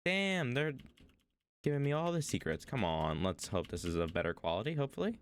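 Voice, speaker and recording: A man's voice: mid-range voice, big pitch swings, fairly quick, clear, resonant tone. A young man streaming as he reacts to a video, talking casually, rambling and hesitant, with lots of fillers.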